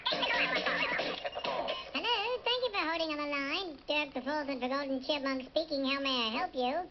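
High-pitched British cartoon chipmunk. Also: male voice, normal speed, soft background music. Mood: friendly, polite. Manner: chatty, excitable, self-deprecating boasting. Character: cute British chipmunk with attitude, playfully boastful. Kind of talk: comic radio phone-in character chat. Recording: muffled radio replayed through a device speaker, faint noise.

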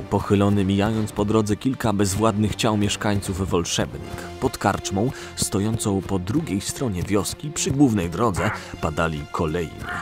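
A man narrating a scripted fantasy audio story. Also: deep voice.

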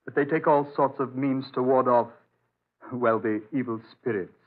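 Brisk, firm island doctor , acted by a man, medium pitch, guarded and fatherly, narrowband vintage radio drama. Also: steady tone, lightly acted. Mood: evasive. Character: reassuring but guarded doctor, protective father on remote island. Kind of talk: old-time radio drama acting. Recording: muffled narrowband old radio recording.

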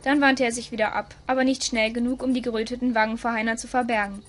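Higher-voiced woman narrating a story. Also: little pitch movement, fast talker, faint music behind.

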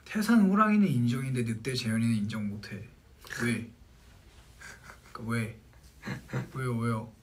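A man's voice: medium pitch, moderate pace, long pauses. A young man from Jeolla chatting playfully with fans on a livestream and teasing his bandmate. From Jeolla, Korea. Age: young adult. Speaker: idol group member on a livestream. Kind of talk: casual chat with fans. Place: small indoor room with a plain wall.

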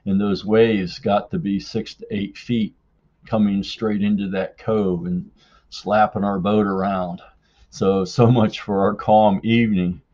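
Slow, low-voiced man on a dull recording. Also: level tone, darkish tone. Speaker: podcast listener leaving a voicemail story. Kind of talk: casual personal storytelling.

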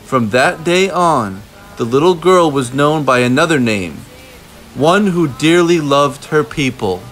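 A man reading a children's picture book aloud as a narrator, in measured phrases with deliberate pauses. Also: fairly high pitch, expressive, fairly bright tone, soft background music, poor audio. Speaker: storybook narrator.

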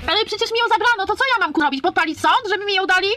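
Woman, forties, bright and quick, bitter and defiant, self-filmed at home. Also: very high-pitched, a little hiss or hum. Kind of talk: self-filmed video monologue.

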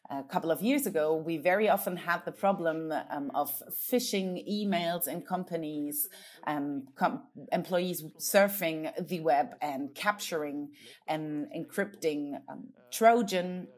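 A woman interpreting a conference talk into English, measured and steady, with frequent hesitations and self-corrections. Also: sits low.

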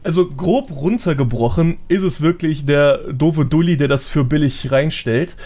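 A man's voice: a little high, normal speed, a little mellow. A man talking casually as a podcast guest, explaining things in a chatty, self-deprecating way.

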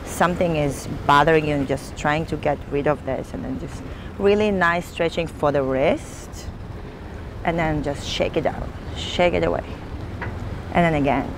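A middle-aged woman calmly guiding a stretching session as a yoga instructor, pausing between cues, outdoors on a boat. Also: low-pitched. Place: outdoors on a sailboat deck at sea. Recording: windy outdoor boat noise.

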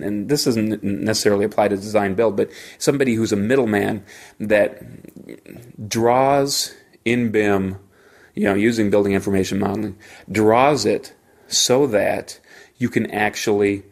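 A man hosting a solo podcast, talking informally and haltingly as he thinks aloud. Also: lowish voice, gravelly. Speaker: podcast host; engineer.

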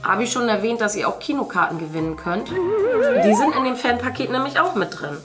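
A woman's voice: a little high, wildly swooping pitch, brisk, loud music behind the voice. A middle-aged woman, chatting casually as she paints indoors. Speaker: host, mother. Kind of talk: casual chat while painting. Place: indoor room at a craft table.

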